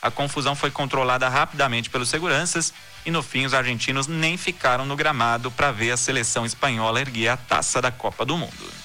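A man hosting a news podcast from Brazil, reading the day's stories and listener messages in a steady, measured newsreader delivery. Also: medium pitch, over music.

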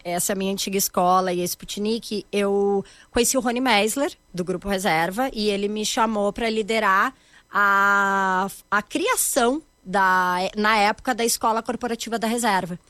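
Animated gaúcha woman with a dry sound. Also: medium pitch, no room sound. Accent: Gaúcho Brazilian Portuguese. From Rio Grande do Sul, Brazil. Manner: animated, emphatic, uses many tag questions. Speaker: guest, corporate education founder. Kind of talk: conversational interview.

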